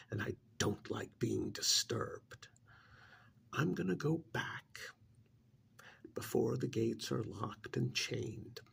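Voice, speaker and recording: Man voicing a slow, gravelly, disdainful ancient creature. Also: lowish voice, fairly bright tone, full character voice. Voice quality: gravelly, husky, breathy half-whisper. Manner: menacing, contemptuous, deliberate. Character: ancient disdainful male creature possessing a dead man's body. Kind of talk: ASMR roleplay, slow dramatic monologue.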